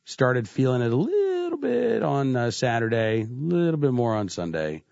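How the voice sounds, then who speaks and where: A man's voice: medium pitch, huge pitch swings, normal speed, gravelly, darkish tone, dry recording, dull audio. A man hosting a talk radio show with a stuffy cold, speaking conversationally with frequent "uh" fillers. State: congested with a cold. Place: radio studio. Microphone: studio microphone.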